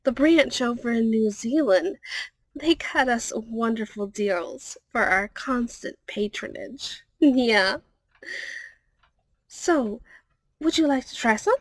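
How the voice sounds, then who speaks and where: A woman's voice: fairly high pitch, lively intonation, slow, no echo, rough recording. A woman playing a friendly, polite catgirl secretary in an ASMR roleplay, chatty, ending sentences with a little meowing tic. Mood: chatty, cheerful.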